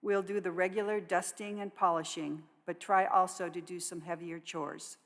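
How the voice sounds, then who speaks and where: A woman with a slightly low voice reads notices to a congregation. Her delivery is measured and scripted, as a worship associate would give it. Long reverb from a large church hall surrounds the voice. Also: steady tone, fairly bright tone. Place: church sanctuary.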